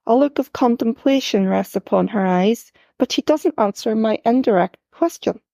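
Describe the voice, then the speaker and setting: Woman author reads own story aloud, calm, reflective, dry close sound. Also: on the high side, normal speed, gravelly, no echo. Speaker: author reading her story. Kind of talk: first-person story reading.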